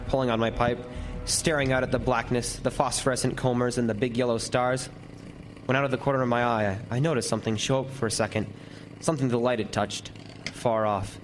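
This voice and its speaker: A man's voice: mid-range voice, fairly even intonation, faint music behind, lightly acted. A man playing a lighthouse keeper who tells his tale as dramatic first-person narration in old-time radio horror style. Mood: brooding, vivid. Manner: vivid, deliberate storytelling with lingering descriptions. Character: lighthouse keeper recounting a frightful night.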